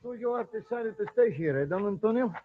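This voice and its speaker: An actor playing a restless, hard-to-impress soldier, dismissive and theatrical, in an old-time radio drama. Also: male voice, high-pitched, animated, fast, slight background noise. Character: restless, unimpressed Spanish soldier, the hero's friend. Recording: dull, dry old radio recording.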